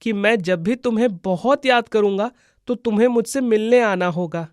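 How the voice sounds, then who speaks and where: A man's voice: high-pitched, normal speed, no room sound, clean studio sound, close to an everyday voice. A man voicing an earnest, emotional schoolboy devoted to his friend, in a children's moral-story cartoon. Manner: pleading, earnest.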